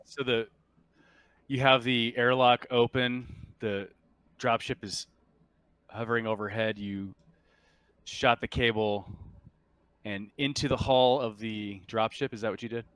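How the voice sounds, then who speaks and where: A man acting as game master for a tabletop roleplaying game, talking casually and a little haltingly. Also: mid-range voice, steady tone, fairly bright tone, clean tone, dry recording.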